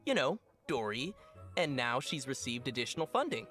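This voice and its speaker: A man's voice: medium pitch, big pitch swings, moderate pace, fairly bright tone, soft background music. A man co-hosting a game update program, explaining events in a friendly, chatty scripted way. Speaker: program co-host.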